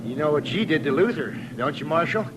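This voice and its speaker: An actor playing a whining, nervous American cattle rustler who stammers and pleads in a radio western. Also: male voice, on the high side, animated, a little fast, natural voice. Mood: pleading, panicked. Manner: agitated, stammering, wheedling. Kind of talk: 1950s radio western acting. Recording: noisy vintage radio drama recording.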